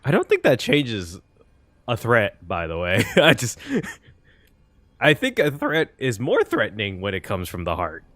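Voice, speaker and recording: A man giving casual reaction commentary as a game streamer, halting and exclaiming, with some swearing. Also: on the low side, huge pitch swings, a bit bright, dry recording.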